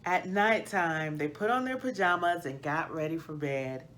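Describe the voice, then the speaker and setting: A middle-aged woman hosting a children's read-aloud, reading storybook style with deliberate, expressive phrasing. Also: sits low, a little hiss or hum, rough recording. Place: small plain indoor room.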